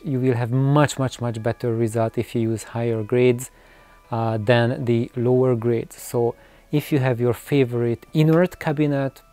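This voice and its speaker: A man in his forties with a mellow voice talks slowly to the camera. He explains in a halting, unscripted way, repeating words as he goes. The sound is dry, in a small indoor room. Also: medium pitch, no room sound. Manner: halting, hesitant phrasing with repetitions. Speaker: video host. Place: small cluttered room with shelves of records, indoors.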